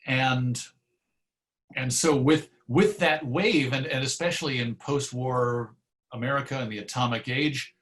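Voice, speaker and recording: A man with a middle-pitched voice talks as a guest in an interview. He is articulate and discursive, building his points like an anecdote in an easy conversational style. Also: unhurried, a bit bright. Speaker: interview guest, radio historian.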